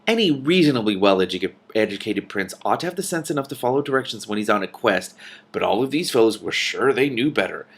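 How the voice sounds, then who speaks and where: A man's voice: fairly low pitch, expressive, quick pace, gravelly, breathy edge, full character voice. A man voicing a matter-of-fact female dragon from a storybook, explaining things with dry, scornful authority.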